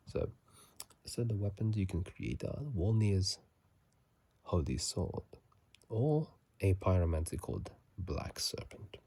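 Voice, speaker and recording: A man speaking in a soft ASMR whisper and murmur, casual and halting, recorded on a phone microphone. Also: deep voice, creaky, husky. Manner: halting, casual, self-correcting. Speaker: channel host. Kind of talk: whispered ASMR game commentary. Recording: faint noise, phone microphone.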